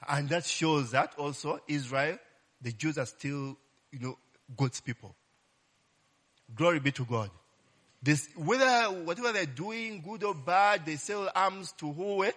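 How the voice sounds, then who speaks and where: A male preacher teaches from scripture in a loose, conversational way. His voice is bright and slightly high, swinging widely in pitch as he tosses "eh?" and "Hallelujah" to the congregation. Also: lively intonation, a little slow. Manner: call-and-response, frequent "eh?" tag questions; exclaims "Glory be to God" and "Hallelujah". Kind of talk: casual Bible teaching sermon.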